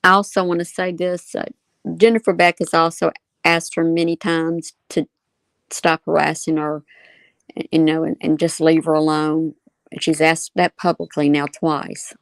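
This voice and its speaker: A woman talks casually and earnestly on a livestream. Her voice sits a little low and stays level, with noticeable fry, and it is recorded dry. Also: level tone, moderate pace, no room sound. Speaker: livestream co-host.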